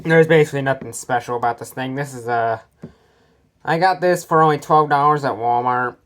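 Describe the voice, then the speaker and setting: A woman's voice: a bass voice, brisk. A woman giving a casual, sweary show-and-tell as host of a collection video, blunt and easily irritated.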